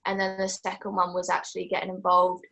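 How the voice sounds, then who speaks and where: An eighteen-year-old woman from England giving a casual, chatty group presentation about her gap year, pausing now and then to search for words. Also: fairly low pitch, monotone, gravelly, bright, forceful tone. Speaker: gap-year team member.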